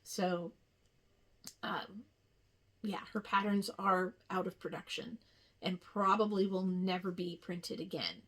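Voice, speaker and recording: A woman hosting a casual craft show-and-tell, chatting in an unhurried way with rambling asides. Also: on the low side, fairly bright tone, breathy edge. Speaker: cross-stitch video host.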